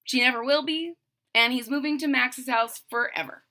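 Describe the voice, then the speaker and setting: A woman's voice: high voice, normal speed, pushed and bright. A woman reading a children's story aloud, narrating expressively and emphatically and adding simple explanations. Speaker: storybook reader.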